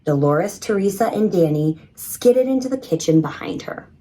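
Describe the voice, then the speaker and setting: A woman reading a children's story in an expressive, measured storytelling manner. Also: on the low side, rough recording. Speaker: storybook reader. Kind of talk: scripted children's story narration.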